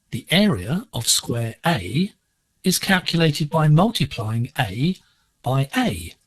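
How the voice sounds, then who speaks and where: A man presenting a scripted educational lesson on shortwave digital radio, explaining in a measured, deliberate way. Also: medium pitch, a bit bright, breathy edge, no room sound, rough recording. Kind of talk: scripted instructional narration.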